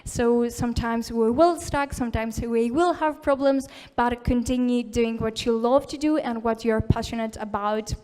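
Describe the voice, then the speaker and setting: A woman's voice: on the high side, normal speed, hardly any pauses, a little mellow, clean tone. A woman speaking at a tech conference, encouraging and informal, with plenty of "like" and "uh" fillers. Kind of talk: live conference talk. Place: conference hall.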